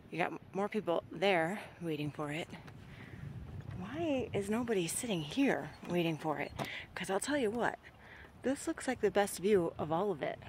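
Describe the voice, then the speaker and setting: A young woman casually narrating a hike outdoors, chatty and full of fillers, filmed with a camera at arm's length. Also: fairly low pitch. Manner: chatty, pointing things out, filler-heavy. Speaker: vlogger hiking on her honeymoon. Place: outdoors on a boardwalk trail. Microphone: camera held at arm's length. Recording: outdoor camera audio with wind noise.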